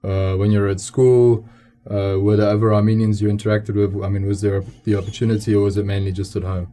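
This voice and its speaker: Low, flat-voiced young male radio host. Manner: polite, measured, frequent affirming murmurs. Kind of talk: scripted radio interview.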